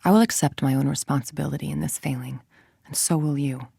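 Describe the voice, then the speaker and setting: An actress voicing a cold, calculating middle-aged villain scientist in a video game, with a clipped, controlled, authoritative and menacing delivery. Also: female voice, low-pitched, quick pace, vocal fry, warm tone, husky, dry recording, clean studio sound, full character voice. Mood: stern, threatening.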